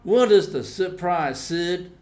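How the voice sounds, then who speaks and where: A bold young boy from a storybook , read by a man. He is confident and mischievous, speaking in emphatic, assertive phrases at a slightly raised pitch. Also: lively intonation, slow, a little mellow. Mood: curious.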